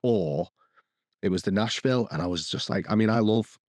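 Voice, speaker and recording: A man's voice: on the low side, moderate pace, darkish tone, no echo. A man co-hosting a podcast, rambling and restarting sentences as he lists the dishes he cooked. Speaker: podcast co-host. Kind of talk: casual chat.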